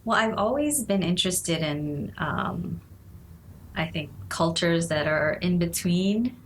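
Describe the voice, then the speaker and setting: A woman's voice: lowish voice, lively intonation, takes it slowly, a little hiss or hum. A woman in her forties from Nepal, a guest speaking thoughtfully in a casual interview, often laughing and saying "you know".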